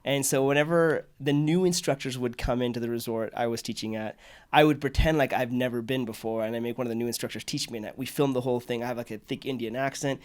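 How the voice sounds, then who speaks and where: A man hosting a podcast, telling a personal story in casual, animated conversation. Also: mid-range voice, quick pace. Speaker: podcast host.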